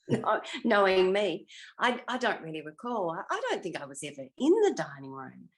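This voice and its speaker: A woman's voice: fairly low pitch, very animated, normal speed. An older woman, over sixty, chatting reflectively as a retired actress in an interview, thinking aloud and letting her sentences trail. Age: 60s or older. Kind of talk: reflective casual interview chat.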